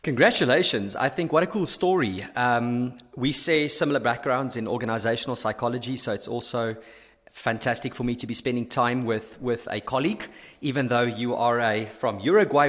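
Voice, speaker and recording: A man's voice: mid-range voice, brisk, a bit bright. Male podcast host, upbeat, effusive, restarts sentences, long reverb. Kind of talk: podcast interview.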